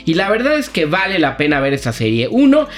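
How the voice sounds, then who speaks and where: A man's voice: fairly high pitch, wildly swooping pitch, a little fast, soft background music. A man with a Mexican accent giving a casual, colloquial review as a critic on a talk show, telling plots like gossip. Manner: colloquial, gossipy storytelling with slang.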